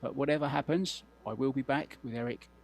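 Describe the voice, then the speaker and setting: A man giving measured, understated live commentary on a billiards match, his voice slightly croaky. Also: medium pitch, steady tone, darkish tone. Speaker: sports commentator. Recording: broadcast with clear background hum.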